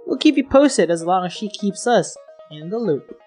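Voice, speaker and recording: A woman's voice: medium pitch, lively intonation, moderate pace, warm tone, close to an everyday voice. A woman playing a gushing, slangy young celebrity-gossip video reporter in an audio drama. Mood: gushing, teasing. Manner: peppy, slangy, teasing. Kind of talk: online gossip news patter. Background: music.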